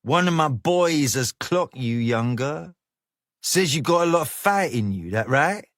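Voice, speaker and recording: A man voicing a cocky, flashy male gang leader who talks in slang, smooth and menacing. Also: fairly high pitch, expressive, a little slow, a bit bright, no echo, clean studio sound, pitched above the performer's own voice. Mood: coaxing. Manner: coaxing street slang, persuasive.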